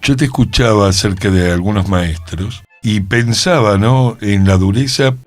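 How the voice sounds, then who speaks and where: An Argentine man hosts a radio interview in a slightly low voice. He speaks reflectively and tentatively, working through his thoughts aloud, with faint music behind him. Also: moderate pace. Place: radio studio.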